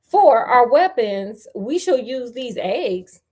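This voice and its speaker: Woman reading as a proud, commanding ostrich leader, higher voice. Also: very animated, warm tone, full character voice. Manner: declamatory, deliberate battle orders.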